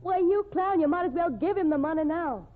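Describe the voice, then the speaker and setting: An actress playing a worried young woman trick roper in a 1940s radio drama, sharp and fretful. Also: extremely high pitch, expressive, brisk. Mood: angry. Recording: tinny old radio broadcast.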